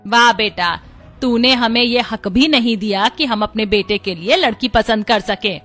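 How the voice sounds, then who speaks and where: A woman's voice: fairly high pitch, measured pace, a bit bright, faint music behind. A woman voicing a disapproving mother of a grown son in a family cartoon, indignant and scolding. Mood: wounded. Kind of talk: Hindi family cartoon dubbing.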